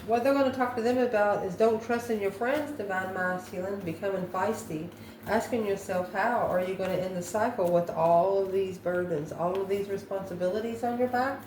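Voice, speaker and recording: A woman's voice: mid-range voice, normal speed, a little mellow. Woman reading tarot in an echoey room. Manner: musing aloud, asks questions and answers them herself. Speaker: tarot reader. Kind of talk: improvised tarot card reading. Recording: roomy echo, faint background noise.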